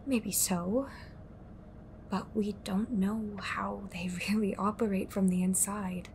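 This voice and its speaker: A woman playing a haunted, secretive fighter against an occult group in an audio drama, speaking cryptically and deliberately. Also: mid-range voice, fairly even intonation, a little mellow, slight background noise. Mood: somber, explaining. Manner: cryptic, slow, deliberate, sighing. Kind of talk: audio roleplay drama acting.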